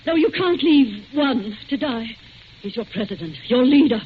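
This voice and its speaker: A woman's voice: fairly high pitch, lively intonation, moderate pace, narrow, tinny audio, natural voice. An actress playing a fierce, imperious, devoted woman of a criminal society, commanding and intense in 1940s radio style, on a thin, hissy recording. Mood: anguished.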